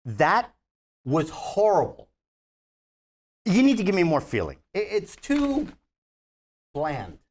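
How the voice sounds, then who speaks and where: Exasperated perfectionist movie director in his sixties played by a man, very animated delivery, comedy table read. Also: high voice, slow, long pauses, exaggerated voice. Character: bubbly mid-sixties perfectionist film director, demanding and exasperated.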